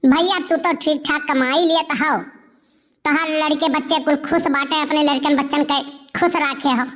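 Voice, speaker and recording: A woman voicing a sharp-tongued, nagging village wife in an Awadhi comedy cartoon, with an Awadhi accent. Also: sits high, fairly even intonation, moderate pace. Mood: friendly chatting. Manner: nagging, complaining.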